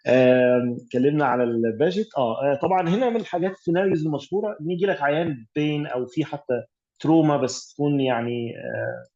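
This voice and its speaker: Fast-talking Egyptian man teaching radiology. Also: fairly high pitch, mellow, muffled recording. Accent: Egyptian Arabic. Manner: explanatory, mixes English medical terms, many fillers. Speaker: radiology tutor. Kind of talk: online exam revision teaching.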